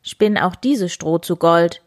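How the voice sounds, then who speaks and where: A woman's voice: on the low side, slow, no room sound, clean studio sound. A woman voicing a greedy, commanding king in a fairy tale. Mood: demanding.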